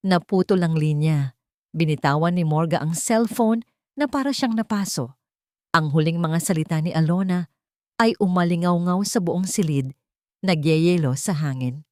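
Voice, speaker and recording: Female audiobook narrator, dark mellow tone, dramatic scripted delivery, very dry close sound. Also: on the low side, normal speed, no room sound.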